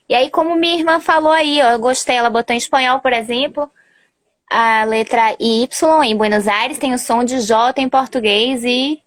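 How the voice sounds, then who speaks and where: A woman language teacher explaining at length in a casual live-stream chat over a video call, talkative and correcting herself as she goes. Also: fairly high pitch. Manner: chatty, explanatory, with self-corrections.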